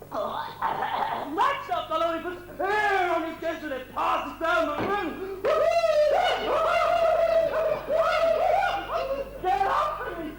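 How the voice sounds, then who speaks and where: Man playing a very high-voiced grumbling fiddler. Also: full character voice. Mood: exasperated. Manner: loud, broad complaining. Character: grumbling, weary, hapless comic fiddler in a cantina. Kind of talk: comic pantomime stage acting. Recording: echoey hall with audience noise.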